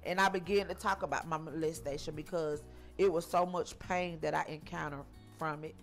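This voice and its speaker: A woman, from Georgia, telling her life story in an interview, halting and repeating herself with frequent ums. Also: low-pitched, steady tone, soft background music. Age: 40s. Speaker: interview guest.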